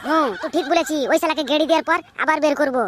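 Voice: sits high, fairly even intonation, rapid-fire, background noise, lightly acted. A performer voicing a hot-headed young cartoon man who blusters threats. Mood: threatening. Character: hot-headed young male boyfriend, a thug. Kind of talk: cartoon comedy dubbing. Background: music.

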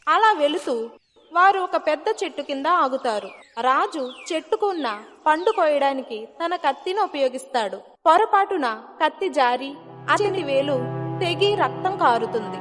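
A woman with a clearly high voice narrates a scripted moral tale. Long hall reverb surrounds her, and music plays behind. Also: lively intonation, moderate pace, a bit bright.

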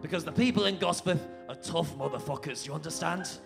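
A crude, blustering Yorkshire dad, played by a man on stage, barking tough-love lectures with a raised, gravelly voice. Live music is heard behind him. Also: a little high, a little fast. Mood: gruff. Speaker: improv comedian. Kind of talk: improvised musical comedy scene.

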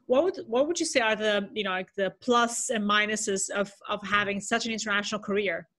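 Woman in her thirties interviewing, mid-pitched voice. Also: a little fast, sharp tone. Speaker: talk show host, academic interviewer. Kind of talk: conversational interviewing. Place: indoor home room, video call.

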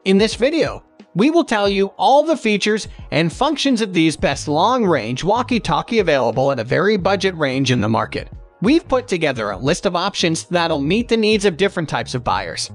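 A man's voice: high-pitched, very animated, moderate pace, faint music behind. A man narrating a scripted product review, reading stiffly from the script.

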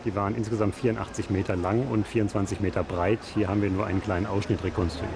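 A man's voice: fairly low pitch, level tone, fast talker. Guy being interviewed as expert guide, factual explaining, echoey room, slight background noise. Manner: factual, explanatory, spontaneous phrasing. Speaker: archaeology expert and site guide. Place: on location at a museum site.

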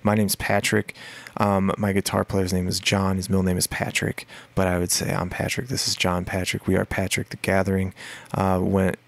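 Deep, gravelly man rambling casually. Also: completely flat delivery, normal speed, dry recording. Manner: rambling, many fillers and restarts. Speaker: guest musician, singer and guitarist. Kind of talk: casual podcast interview.

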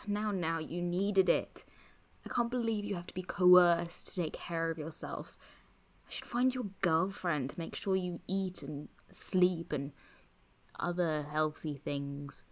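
A woman's voice: lowish voice, slow. An actress playing a wry, teasing young woman who is ailing, in an audio drama, at times murmuring weakly. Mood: wry, weary. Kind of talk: naturalistic audio drama acting.

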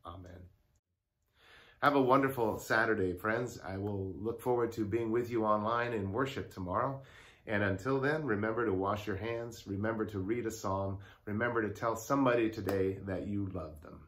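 Older man, pastor, low voice, devotional talk, lapel mic, study at home. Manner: earnest, warm pastoral address with pauses. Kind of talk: daily devotional message.

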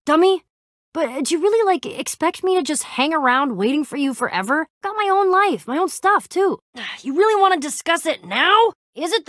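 A woman's voice: very high voice, fairly bright tone, no echo, studio quality, exaggerated voice. A woman playing a plucky, proud young female adventurer in a video game, with a casual, slangy, sardonic delivery.

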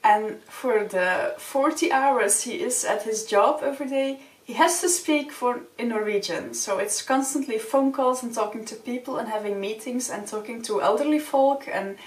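A woman's voice: a little high, moderate pace, few pauses. Young woman vlogger, Dutch native, roomy. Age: young adult. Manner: friendly, earnest, gestures with open hands. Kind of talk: casual advice talk to camera. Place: small wood-panelled room indoors. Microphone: camera a few feet away. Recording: roomy sound from a distant mic.

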